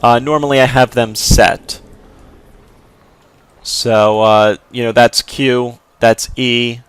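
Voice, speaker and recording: A young man giving casual, rambling commentary while he plays a video game, with lots of fillers and sudden shouts. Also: medium pitch, fairly even intonation, slow pace, clear, resonant tone, dry recording. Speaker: gameplay video host. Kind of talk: casual let's play commentary.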